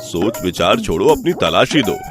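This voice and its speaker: A man playing a stern, authoritative village headman in an animated story. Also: fairly low pitch, moderate pace, over music, close to an everyday voice. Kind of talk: animated Hindi storytelling dialogue.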